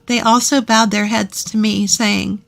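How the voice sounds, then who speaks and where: A woman's voice: mid-range voice, level tone, a little slow, creaky, faint music behind. A woman reading a scripted first-person story as its narrator.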